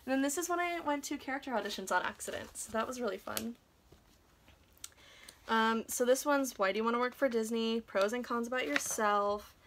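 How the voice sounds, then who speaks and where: A woman's voice: fairly high pitch, brisk, a bit bright, slight background noise, low-quality recording. A young woman talking casually in a chatty, self-deprecating way, like a vlogger sharing advice. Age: young adult.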